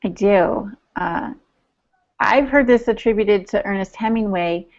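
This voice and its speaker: A woman's voice: medium pitch, measured pace, a little mellow. Woman podcast co-host, slightly muffled sound. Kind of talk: casual podcast discussion about writing. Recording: dull lo-fi podcast audio.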